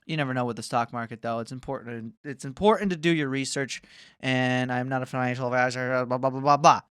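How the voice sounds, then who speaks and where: Profane young man ranting, smooth dry voice. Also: mid-range voice, steady tone, fast talker, fairly bright tone, dry recording. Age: 20s. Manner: profane, rambling, swears often; mocking, bragging, jumps between asides. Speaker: vlogger. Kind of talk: casual rant to camera.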